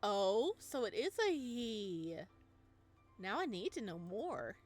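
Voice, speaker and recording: A woman's voice: medium pitch, sing-song, takes it slowly, faint music behind. A woman as a teasing young librarian. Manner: teasing, playful. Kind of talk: reading a visual novel aloud.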